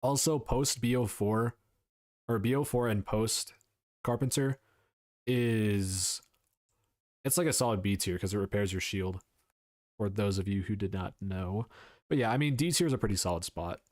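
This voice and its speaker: A young man talks casually on a live stream, rambling through his points, answering chat and dropping the odd swear. His voice sits on the low side. The sound is dry and close, with no room around it. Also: normal speed, long pauses, darkish tone, no echo.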